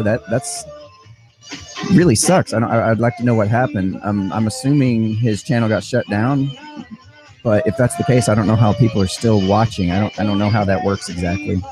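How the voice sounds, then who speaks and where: A man's voice: on the low side, normal speed, over music, poor audio. A man from the southern United States hosting a livestream, talking casually with lots of fillers and repeated words. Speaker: livestream host. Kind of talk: casual live commentary.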